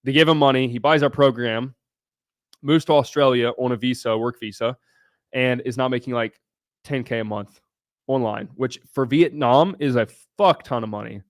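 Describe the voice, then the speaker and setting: A man's voice: medium pitch, moderate pace, no room sound. Young man, brash livestream host, blunt and foul-mouthed, dry close sound. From Southern Ohio. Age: young adult. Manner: brash, blunt, swears freely. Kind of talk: casual livestream chat answering viewers.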